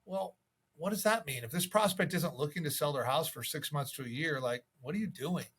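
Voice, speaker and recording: Husky, higher-voiced man coaching. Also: a little fast, fairly bright tone. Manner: conversational, acts out sample calls. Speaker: real estate sales coach. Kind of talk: instructional video talk with sales tips.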